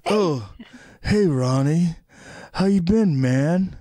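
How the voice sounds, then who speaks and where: A man's voice: fairly high pitch, lively intonation, very slow, warm tone, no room sound. A man playing a squirrely, chummy young store customer with a slangy way of talking in a comedy table read.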